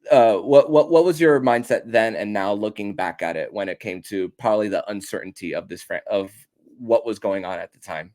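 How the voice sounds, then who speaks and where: A man's voice: lowish voice, normal speed, darkish tone. Rambling man hosting a podcast. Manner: rambling, long questions full of restarts and repeated words. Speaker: podcast host. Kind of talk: casual podcast interview.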